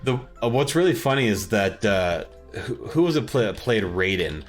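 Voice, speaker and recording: A man's voice: on the low side, lively intonation, moderate pace, faint music behind. A man co-hosting a podcast, talking in an informal, enthusiastic way about films and games. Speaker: podcast co-host.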